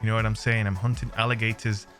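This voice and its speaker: A man's voice: on the low side, level tone, quick pace, a bit bright, faint music behind. A young English man, a little under the weather, giving chatty, slangy gameplay commentary to his viewers. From England. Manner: chatty, jokey, slangy, addresses viewers as friends. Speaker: gaming channel host.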